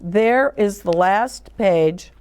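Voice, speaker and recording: A woman hosting a children's story time, reading aloud and chatting in an animated, sing-song way, often putting questions to her young listeners. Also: mid-range voice, extremely slow, smooth. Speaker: children's storyteller and show host.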